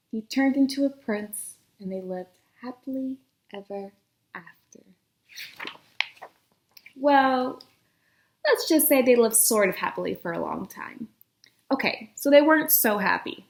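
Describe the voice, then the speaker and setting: Woman reading a kids story out loud, expressive, highish voice, echoey room. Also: measured pace, pauses often. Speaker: storyteller reading a children's book aloud.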